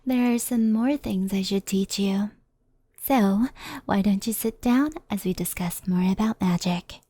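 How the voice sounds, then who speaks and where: A woman playing a gentle, mischievous fox spirit in an ASMR roleplay, speaking softly and intimately, often in a whisper, like a reassuring mentor. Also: mid-range voice, unhurried, husky, no room sound.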